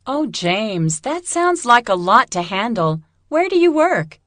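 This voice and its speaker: A woman playing a friendly, curious young student in a scripted, clearly enunciated listening-test dialogue. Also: on the high side, big pitch swings, measured pace, a bit bright, no room sound. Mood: curious, encouraging.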